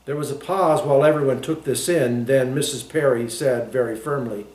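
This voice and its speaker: A man's voice: medium pitch, normal speed, darkish tone. A man reading a novel aloud as scripted literary narration. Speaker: audiobook reader. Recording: echoey room.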